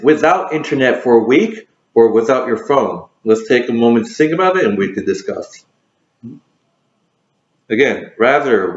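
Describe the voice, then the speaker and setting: Guy running a would-you-rather chat, lots of um and restarts, echoey dull audio. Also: mid-range voice. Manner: hesitant, many fillers and restarts. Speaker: host. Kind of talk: casual conversation game. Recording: roomy, dull lo-fi sound.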